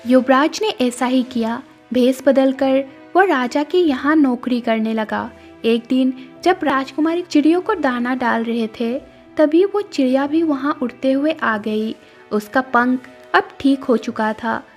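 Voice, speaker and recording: A woman's voice: high voice, a little slow, darkish tone. A woman giving scripted narration as the storyteller of a cartoon moral story. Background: faint music.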